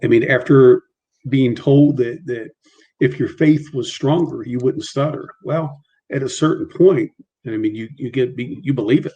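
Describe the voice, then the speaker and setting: Man who stutters, halting speech. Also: mid-range voice, warm tone, highs cut off. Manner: halting, frequent repetitions and restarts, mild stutter. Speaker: guest. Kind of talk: casual interview on a video call.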